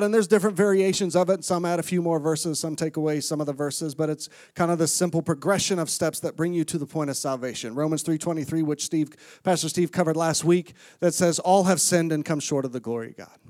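A middle-aged preacher from Florida, speaking in a slightly high voice. He mixes scripture reading with easygoing, self-mocking asides. The sound is close and dry. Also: a little mellow, dry recording. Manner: conversational, self-deprecating humor, reads scripture aloud. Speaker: guest preacher. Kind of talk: sermon. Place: church sanctuary with congregation.

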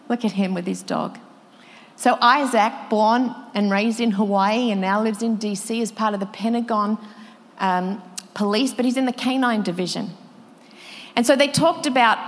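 Woman preaching in a reverberant hall. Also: on the high side. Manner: emotional, quoting others with rising intensity. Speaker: pastor. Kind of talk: sermon storytelling.

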